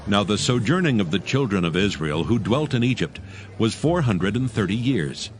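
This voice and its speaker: Male narrator, lowish pitch, solemn and measured scripture storytelling over a music bed. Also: expressive.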